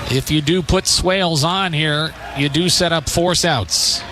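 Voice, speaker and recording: A man calling live baseball play-by-play on the radio in measured, clipped phrases with pauses between them. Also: on the high side, background noise. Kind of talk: live radio sports commentary.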